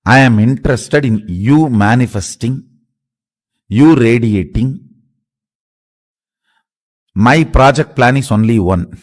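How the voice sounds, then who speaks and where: A man with an Indian accent giving a spiritual discourse as a guru, speaking emphatically in halting, short, repeated phrases. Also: medium pitch. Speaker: spiritual guru teaching a course.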